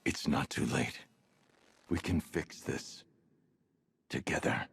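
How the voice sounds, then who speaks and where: A man voicing a stern masked vigilante hero in a video game, speaking gravely and with control. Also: rumbling low, slow pace, a bit bright, no room sound, exaggerated voice. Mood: pleading. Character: stern, deep-voiced adult male masked vigilante hero. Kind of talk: dramatic video game acting. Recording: noisy ambient background.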